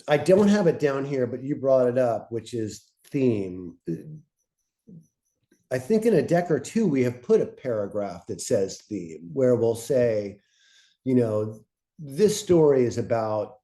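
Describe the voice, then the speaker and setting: A man co-presenting a webinar in an informal instructional way, thinking aloud in halting, broken-up phrases. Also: medium pitch, big pitch swings, dark tone. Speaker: co-presenter of a fiction podcast pitching webinar.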